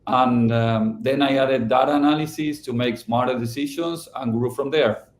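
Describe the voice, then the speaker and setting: A man's voice: medium pitch, steady tone, roomy sound. Man answering with frequent restarts. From Argentina. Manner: halting, with many restarts and fillers. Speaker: company founder and CEO. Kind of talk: podcast interview answers.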